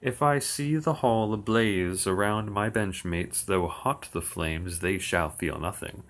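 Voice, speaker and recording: A man reciting old verse slowly and steadily on his livestream, with dry asides. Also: fairly low pitch, fairly bright tone. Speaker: occult streamer. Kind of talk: reading verse aloud on a livestream.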